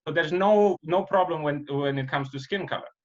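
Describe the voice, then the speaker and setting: Romanian-accented man, earnest and halting. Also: on the high side, lo-fi sound. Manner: earnest, halting, many restarts. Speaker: podcast host, comedian. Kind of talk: casual podcast chat.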